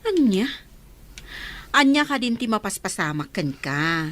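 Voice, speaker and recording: An actress playing a frank, protective older-sister confidante in a radio drama, with a Filipino accent. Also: female voice, mid-range voice, sing-song, measured pace, a bit bright. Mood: concerned.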